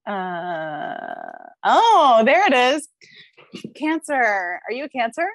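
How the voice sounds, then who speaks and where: A woman guest on a podcast, animated and opinionated, talking through astrology with plenty of filler words and the odd curse. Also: fairly high pitch, slow pace, no echo. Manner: animated, opinionated, swears, lots of filler likes. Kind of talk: casual podcast chat.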